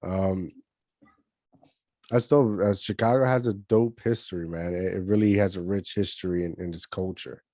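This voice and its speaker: A man's voice: low-pitched, steady tone, moderate pace, dark tone, no echo, clean studio sound. A man from Puerto Rico hosting a podcast in a casual, slangy, informal way. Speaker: podcast host. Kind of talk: casual chat.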